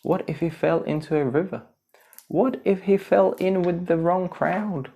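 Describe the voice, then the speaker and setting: Male reader as anxious animal buddy, high-pitched, exclamatory, dramatic, children's storybook character. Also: unhurried, vocal fry, warm tone. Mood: panicked worry. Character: excitable, anxious animal pals calling and fretting.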